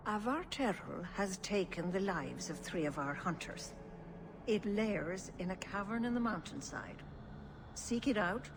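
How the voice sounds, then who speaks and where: An actress playing a calm, stern yet caring older elven clan leader in a fantasy game, speaking formally. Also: female voice, lowish voice, noisy background. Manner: measured, formal. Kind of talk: fantasy video game voice acting.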